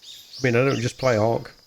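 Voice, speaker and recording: A man's voice: mid-range voice, creaky, slight background noise. A man hosting a gaming channel, giving casual gameplay commentary in an informal, rambling way. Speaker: gaming YouTuber, host.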